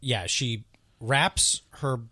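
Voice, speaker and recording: Bright-voiced man in casual review chat. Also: mid-range voice, no echo, studio quality. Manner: halting, restarts, acts out lines in put-on voices.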